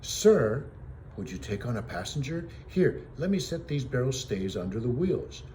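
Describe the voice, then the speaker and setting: A man voicing a streetwise, gruff but soft-hearted orphan boy in rough street talk, in a storybook reading. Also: medium pitch, big pitch swings, moderate pace, a little hiss or hum, pitched above the performer's own voice, lightly acted. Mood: eager.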